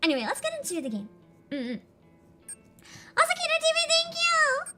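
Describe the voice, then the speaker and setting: A woman's voice: extremely high pitch, huge pitch swings, normal speed, over loud music. A woman streamer chatting casually and giggling with her viewers, her throat congested from screaming. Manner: chatty and giggly, talks to chat. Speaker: game streamer. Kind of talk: casual live-stream chat.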